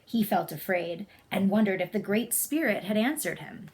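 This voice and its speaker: A woman's voice: medium pitch, animated, normal speed, a little mellow. A woman narrating a children's story as a read-aloud. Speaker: storybook reader.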